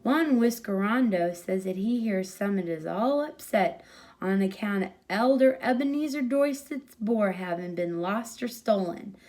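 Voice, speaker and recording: A woman's voice: mid-range voice, lively intonation, unhurried, a little mellow, rough recording. A woman reading a short story aloud as a storyteller, in scripted narration.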